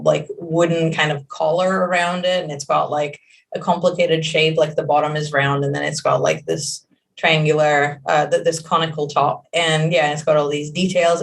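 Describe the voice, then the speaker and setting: A young woman with a gravelly, creaky voice, a native Hebrew speaker talking through her answers in a loose, rambling way. She restarts often and fills gaps with "like" and "uh". The mic sits a little far from her. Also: low voice. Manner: rambling, many fillers and restarts. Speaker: game creative director. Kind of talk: casual interview answers.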